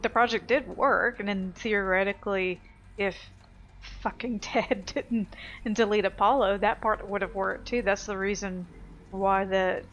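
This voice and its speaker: A woman's voice: medium pitch, steady tone, a little slow, a bit bright, lo-fi sound. A woman giving casual, unscripted let's play commentary in a blunt, sweary, opinionated manner. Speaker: gaming video host.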